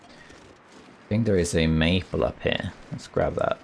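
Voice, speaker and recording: A man with a raspy voice, still getting over a cold, calmly commenting as he plays a survival game and thinks aloud. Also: deep voice, unhurried, slight background noise. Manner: relaxed, thinking aloud while exploring. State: recovering from a cold. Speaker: gameplay commentator. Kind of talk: calm let's play commentary.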